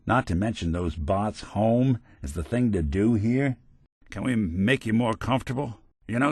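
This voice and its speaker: An actor playing a world-weary, wry but kindhearted android detective in a video game, with hardboiled old-fashioned slang. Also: male voice, lowish voice, breathy edge, dry recording, clean studio sound, exaggerated voice. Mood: reassuring, then stern.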